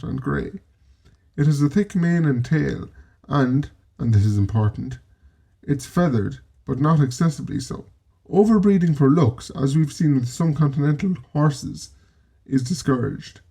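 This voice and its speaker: A man with an Irish accent narrating a scripted history piece in a measured storytelling way, dropping in wry asides. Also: fairly high pitch, creaky, mellow, husky. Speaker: presenter.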